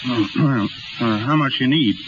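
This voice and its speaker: An actor playing a timid, kindly carnival pal with a hesitant, folksy way of talking, in 1930s radio drama style. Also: male voice, medium pitch, unhurried, lightly acted. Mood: nervous. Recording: muffled, noisy 1930s radio recording.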